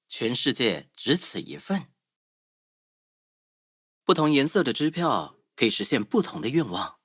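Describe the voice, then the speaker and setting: The boyfriend in a mobile romance game, whispering-close and dry, husky, playful and tender with his sweetheart. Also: a little high, a bit bright, no echo, heavily acted. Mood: cheerful, doting. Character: doting, teasing young man romancing his sweetheart. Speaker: game voice actor.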